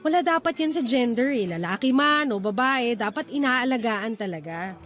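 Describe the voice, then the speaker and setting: A frank young woman in a radio romance drama. Her voice sits fairly high and she states her mind in a conversational, assertive way, with music playing under her. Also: lively intonation, moderate pace, a bit bright. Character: independent, outspoken young woman rekindling an old romance. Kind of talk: Filipino radio drama acting.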